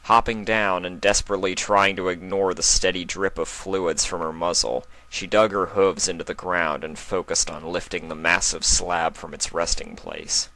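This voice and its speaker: A man's voice: sits low, fairly even intonation, normal speed, few pauses, bright, forceful tone, rough recording. A man reading a fantasy story aloud as its narrator, in a dramatic scripted style. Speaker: fan fiction narrator.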